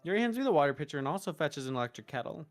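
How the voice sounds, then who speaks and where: A woman streaming a visual novel, reading narration and dialogue aloud expressively, halting in places. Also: deep voice, big pitch swings. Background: game music.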